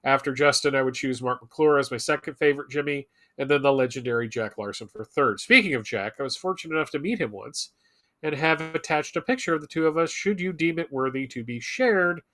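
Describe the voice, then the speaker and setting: A man's voice: mid-range voice, fairly quick, pushed and bright. A man co-hosting a live show, chatting casually and reading viewer messages aloud.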